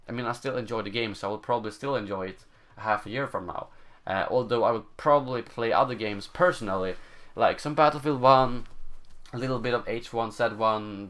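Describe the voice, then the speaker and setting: A young man with a Swedish accent, speaking English as his second language while he reads out and answers viewer questions. His voice sits somewhat low, and he talks in a loose, halting way, filling gaps and hunting for the right word. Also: fairly bright tone. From Sweden. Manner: halting, searching for words, many fillers. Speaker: gaming channel host. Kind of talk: live stream Q&A, casual chat.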